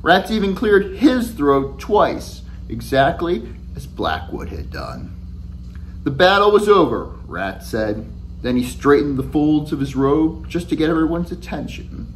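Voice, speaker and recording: Male author, forties, expressive storybook read, very varied intonation, chatty delivery, faint hum behind. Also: a little high, unhurried. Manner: animated, conversational first-person storytelling. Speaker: author reading own book aloud. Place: small indoor room at home with shelves.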